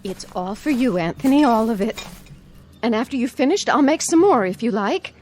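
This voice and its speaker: An actress playing an anxious, placating aunt in a radio drama, with a fluttery manner. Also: female voice, on the high side, animated, moderate pace, a little mellow. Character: anxious, eager-to-please aunt, frightened of a child. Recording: music under the voice.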